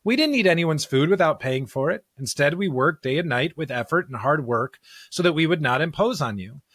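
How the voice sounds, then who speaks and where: A man's voice: a little high, expressive, brisk, a bit bright, no echo, studio quality. A man hosting a Bible podcast, explaining and reading scripture in an earnest, emphatic way. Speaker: podcast host discussing scripture.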